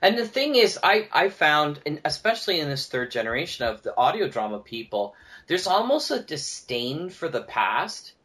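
A man with a somewhat high-pitched voice talks as a podcast guest. His delivery is conversational and animated, as in an informal discussion among producers. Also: moderate pace.

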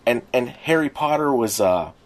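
A man's voice: mid-range voice, animated, normal speed. A middle-aged man co-hosting a casual podcast about pop culture, with a wry, grumbling sense of humour. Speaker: podcast co-host.

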